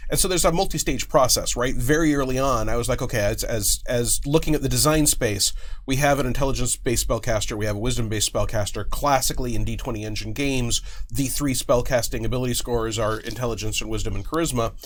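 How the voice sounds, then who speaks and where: A man in his forties, a game designer, explaining ideas in a casual studio panel chat with lively hand gestures. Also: medium pitch, quick pace. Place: small studio set with curtain backdrop.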